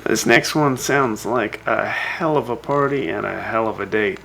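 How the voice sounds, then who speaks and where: A man with a gravelly, creaky voice plays a folksy post-apocalyptic radio DJ. He talks casually into the broadcast, slipping in wry, teasing asides. A faint noise sits behind his voice. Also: fairly low pitch, normal speed, fairly bright tone, rough recording. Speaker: radio host.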